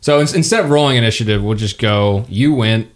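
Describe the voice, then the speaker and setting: A man's voice: fairly low pitch, fairly quick, slight background noise. Easygoing male game master, hesitant fillers, easy laughter. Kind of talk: narrating a tabletop game.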